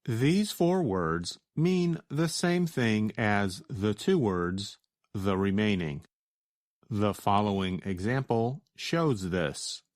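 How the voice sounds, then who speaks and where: An American man with a fairly low voice teaches from a script. He speaks very slowly and deliberately, explaining each point in a measured way. The sound is dry, with hardly any room. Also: animated, dry recording. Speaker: teacher answering a listener's question. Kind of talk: slow scripted educational narration.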